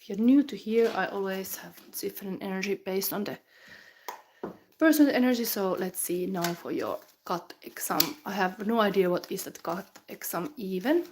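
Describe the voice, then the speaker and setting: A woman's voice: medium pitch, normal speed, noisy background. Finnish woman, rambling tarot reading. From Finland. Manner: rambling, thinking aloud with many fillers and restarts; drops into whispers while channeling. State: has the flu. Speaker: tarot reader.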